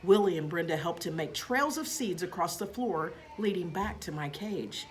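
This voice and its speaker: A woman reading a children's story aloud as a teacher, in an expressive narrating style. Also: lowish voice, moderate pace.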